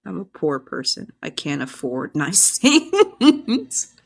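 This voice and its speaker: A woman's voice: fairly low pitch, huge pitch swings, slow pace, vocal fry, slightly rough. A woman chatting casually to an iPad microphone in a rambling, self-deprecating way, like a hobbyist vlogger. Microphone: iPad built-in microphone.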